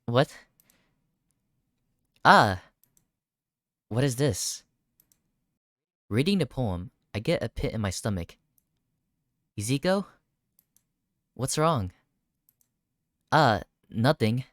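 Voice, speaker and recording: Gaming streamer, mid-pitched voice, visual novel read-aloud, startled asides, dry close recording. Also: dry recording. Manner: reads dialogue aloud, breaking into startled reactions. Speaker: video game streamer.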